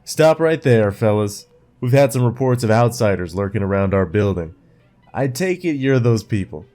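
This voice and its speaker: A man playing a suspicious, gruff neighborhood guard who questions outsiders in a slow, threatening way. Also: fairly low pitch, animated, dark tone, close to an everyday voice. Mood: wary. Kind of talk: fan audio drama acting. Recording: faint music behind.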